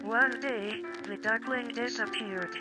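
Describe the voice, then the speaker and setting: A woman's voice: on the high side, fairly even intonation, slow pace. A woman storyteller giving a scripted fairy-tale narration. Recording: music under the voice.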